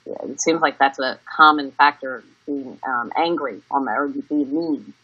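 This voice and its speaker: An American woman in her early fifties giving candid, halting interview answers. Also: extremely low pitch, slightly rough. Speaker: interviewee, family member. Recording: dull, lo-fi sound.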